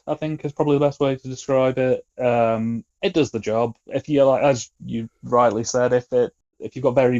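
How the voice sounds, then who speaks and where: A man with a mid-pitched voice talks casually as a podcast guest. His manner is wry, with colourful turns of phrase. The audio is a bit dull and lo-fi. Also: a little mellow. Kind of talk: casual chat.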